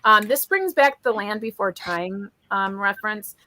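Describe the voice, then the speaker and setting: Female host for an informal book-club live chat, clearly bright tone. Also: medium pitch, unhurried, dry recording, poor audio. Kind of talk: casual group chat.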